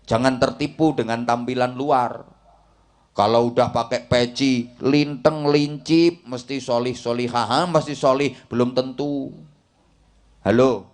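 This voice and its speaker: Jokey Javanese-accented male preacher. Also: medium pitch. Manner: jokey, teasing the crowd, mixing in Javanese. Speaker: Islamic preacher. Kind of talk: comic village sermon.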